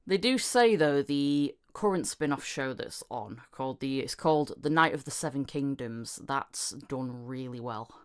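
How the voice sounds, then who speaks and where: A woman hosting a casual podcast interview, giggly and quick to laugh as she asks her questions. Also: extremely low pitch, lively intonation, clean tone. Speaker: podcast host.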